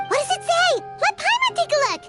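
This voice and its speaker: Cast a tiny high-voiced companion sprite, wildly animated, over a game score. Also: female voice, fairly quick, heavily acted. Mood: eager. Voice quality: high, squeaky and bouncy. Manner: talks of herself by name. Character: tiny chirpy floating companion girl. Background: game music.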